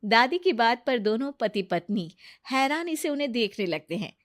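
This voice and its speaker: A woman's voice: a little high, sing-song, normal speed, dry recording, clean studio sound. A woman narrating a family story in a scripted storybook style.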